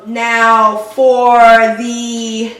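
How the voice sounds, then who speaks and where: Bright-voiced young woman, insistent. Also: fairly high pitch, monotone, extremely slow, very echoey, recorded from a distance, poor audio. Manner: insistent, repeats her point. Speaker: co-host reviewing a TV episode. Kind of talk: casual chat.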